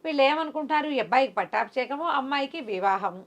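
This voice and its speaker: A woman's voice: sits high, animated, fast talker, lo-fi sound. A woman tells a folk tale conversationally to a listener, voicing the characters' dialogue as she goes. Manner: acts out characters' dialogue within the narration. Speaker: storyteller.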